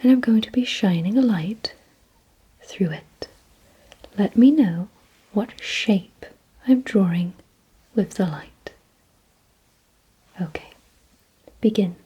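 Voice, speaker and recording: A woman whispering in a breathy, hushed ASMR roleplay style, giving deliberate instructions directly to the listener. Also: mid-range voice, rough recording. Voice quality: breathy, mostly unvoiced whisper. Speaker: ASMR performer.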